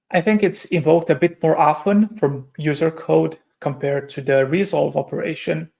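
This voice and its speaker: A man's voice: on the high side, vocal fry, darkish tone. A man from Romania, giving a technical conference presentation and explaining step by step with frequent hesitations and self-corrections. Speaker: conference speaker.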